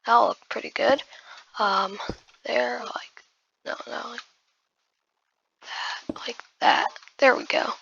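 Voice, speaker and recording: Hoarse, creaky woman muttering gameplay commentary. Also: a little high, steady tone, takes it slowly, long pauses, fairly bright tone, highs cut off. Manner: muttering half-whispered asides to self, addressing viewers as guys. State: hoarse, losing voice after just waking up. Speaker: gaming video creator. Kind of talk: casual gameplay commentary while building.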